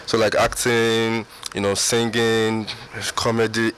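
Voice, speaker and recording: Man, very deep voice, laid-back, filler-heavy, radio studio, faint noise. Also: level tone, takes it slowly, a bit bright, poor audio. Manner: laid-back, many "like" and "you know" fillers. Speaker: reality show ex-contestant guest. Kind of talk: casual radio interview.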